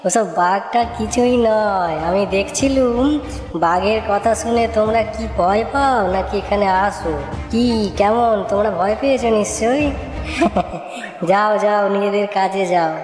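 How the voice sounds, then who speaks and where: A woman's voice: mid-range voice, measured pace, close to an everyday voice. A woman playing a mischievous, taunting young cowherd boy in a children's cartoon. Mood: mocking, gleeful. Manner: taunting, exclaiming. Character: mischievous orphan cowherd boy, mocking trickster. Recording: echoey reverb, loud music behind.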